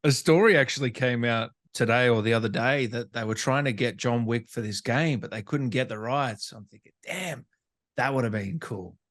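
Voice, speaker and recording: A man's voice: mid-range voice, moderate pace, fairly bright tone. A man hosting a casual gaming interview, chatting informally with his guest. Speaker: interview host.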